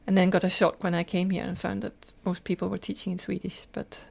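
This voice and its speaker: A woman's voice: lowish voice, flat delivery, a little fast, vocal fry, a little mellow. An older woman with a Scottish accent, telling personal stories in an earnest, detailed way as an interview guest. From Scotland. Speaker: podcast guest, teacher and lecturer.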